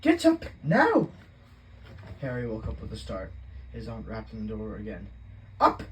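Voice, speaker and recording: A man voicing a shrill, bossy middle-aged aunt who screeches and snaps. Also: huge pitch swings, a little slow, slight background noise, rough recording. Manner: screeching, snapping, fussing.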